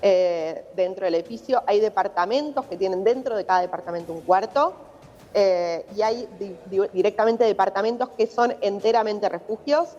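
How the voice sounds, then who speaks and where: A woman's voice: mid-range voice, normal speed, a little mellow. A woman with an Argentine accent, a journalist explaining a situation in a live news interview. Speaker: journalist reporting from abroad. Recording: muffled remote link, long reverberation.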